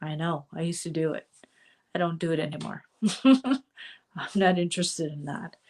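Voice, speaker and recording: A woman coaching viewers in a casual live stream, chatty, with many fillers, and slightly hoarse from a cold. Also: lowish voice, normal speed, darkish tone. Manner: chatty, with frequent fillers and asides.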